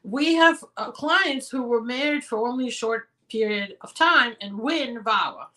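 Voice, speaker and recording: Hoarse bright-voiced woman attorney. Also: a little high, animated, distant mic, rough recording. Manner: direct and advising, with quick practical answers. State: hoarse, losing her voice. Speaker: immigration attorney hosting a live show. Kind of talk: live Q&A, reading out viewer questions and answering.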